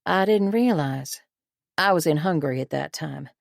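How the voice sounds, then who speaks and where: A woman's voice: deep voice, expressive, moderate pace, vocal fry, dry recording, studio quality. Woman reading as a formidable intelligence chief. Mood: curious. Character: formidable older female intelligence director. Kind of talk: audiobook character reading.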